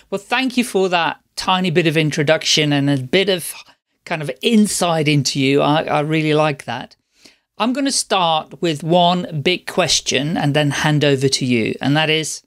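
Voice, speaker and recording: A man's voice: fairly high pitch, a bit bright. A man hosting a podcast, encouraging his guest with open questions. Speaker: podcast host. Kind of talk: casual interview chat.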